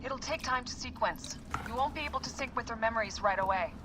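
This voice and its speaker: A woman's voice: a little high, fast, background noise, lightly acted. An actress playing a cautious, worried female technician with a wry, sarcastic streak in a video game cutscene. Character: anxious, cautious woman technician, sarcastic partner.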